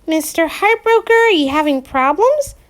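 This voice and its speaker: A woman's voice: very high voice, sing-song, normal speed, pitched above the performer's own voice. A woman voicing a wry teenage girl who teases dryly and gets flustered when caught out. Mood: teasing.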